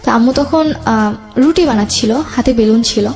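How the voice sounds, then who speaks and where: A woman's voice: fairly high pitch, moderate pace, darkish tone. A young woman with a Bangladeshi accent telling a personal story from her own life as a guest. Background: background music.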